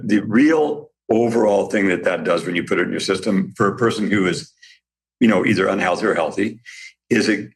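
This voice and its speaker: Deep, gravelly man, slightly raspy. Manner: rambling, animated storyteller. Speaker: guest, supplement business owner. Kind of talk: casual podcast conversation.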